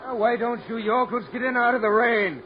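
A man's voice: very high voice, steady tone, a little fast, a crowd in the background. An actor playing a brash, wisecracking harbor policeman with a theatrical, colloquial manner in an old radio crime drama. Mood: bellowing. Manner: blustery, mock-grandiose, colloquial. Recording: muffled, noisy old radio recording.

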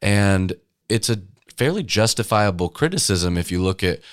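A man's voice: lowish voice, animated, moderate pace. A man talking as a tour guide and music historian, telling stories conversationally in an interview.

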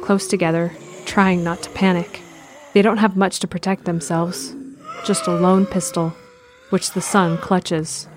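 A woman reading scripted narration for an audio drama in an even, descriptive way. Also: on the low side, slight background noise.

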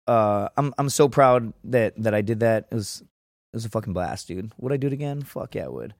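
A man's voice: on the low side, expressive, moderate pace, vocal fry, no echo, studio quality. A man talking as a podcast guest, telling stories in casual chat, swearing freely and acting out what others said. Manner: profane, storytelling, acts out quotes in a raised voice.

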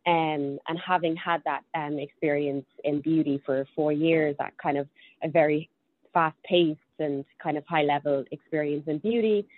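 A young woman with a Dublin Irish accent talks casually about her career, pausing often with ums and restarting her sentences. Her voice sits low, and it comes through a tinny, phone-like call. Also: steady tone. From Ireland. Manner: hesitant, many ums and restarts. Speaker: podcast guest. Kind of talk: casual interview chat.

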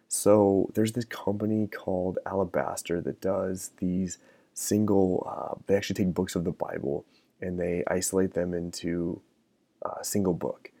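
A man hosting a podcast, talking in a thoughtful, musing way with frequent fillers and restarts, close to the mic in a quiet room. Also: deep voice, little pitch movement, unhurried, dry recording. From American Midwest. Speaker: podcast host. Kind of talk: reflective casual monologue.

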